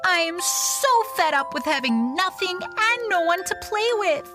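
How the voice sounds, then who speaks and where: Petulant young child for spoof radio ad: very high, exaggerated, over music. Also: female voice, animated, a little slow. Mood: bored, whiny. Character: bored, petulant young child who turns ecstatic in a toy advert. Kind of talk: audio play parody advert.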